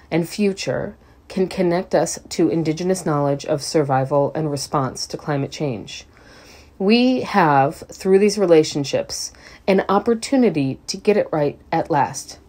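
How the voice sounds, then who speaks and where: A woman giving a scripted sermon reading, measured and deliberate, with emphatic pauses. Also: sits low, dark tone. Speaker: service speaker.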